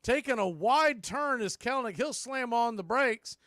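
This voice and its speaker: A man's voice: extremely high pitch, animated, normal speed, a bit bright, no echo, studio quality. A man doing radio baseball play-by-play as the announcer, speaking in short, clipped phrases.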